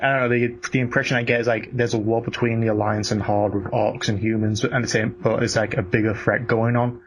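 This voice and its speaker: A man's voice: medium pitch, flat delivery, brisk, rough recording. A man chatting casually and giving blunt opinions in a sweary, ranting way. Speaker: podcast co-host. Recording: noticeable background noise.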